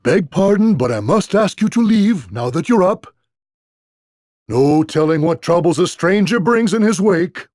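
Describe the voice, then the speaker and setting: A village innkeeper from a fantasy game. His voice is husky and fairly low, with a very lively, swinging delivery. He is folksy and gossipy, wary of strangers, and recorded close and dry. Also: a little slow, dry recording. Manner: folksy, gossipy rustic phrasing. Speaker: innkeeper character. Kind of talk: video game voice acting.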